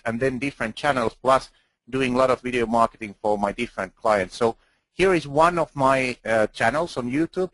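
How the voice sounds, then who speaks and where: Finnish man, conference speaker, earnest and halting, dry close sound, mid pitch. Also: fairly bright tone, no echo, poor audio. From Finland. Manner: earnest, halting, with restarts and fillers. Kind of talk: presentation talk.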